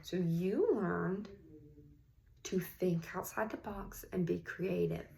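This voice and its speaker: A woman reading a picture book aloud in an encouraging, teacherly manner, pausing to put questions to young listeners. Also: lowish voice, fairly even intonation, creaky, warm tone. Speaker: read-aloud host, teacher-like reader.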